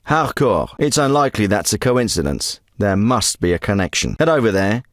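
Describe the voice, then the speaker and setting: A commanding special forces officer in a war game, who speaks in terse radio calls with a dry wit. His pitch moves a lot as he talks. The studio sound is close and dry. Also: sing-song, moderate pace, no echo. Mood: briefing. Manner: clipped military radio talk. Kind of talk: video game voice acting.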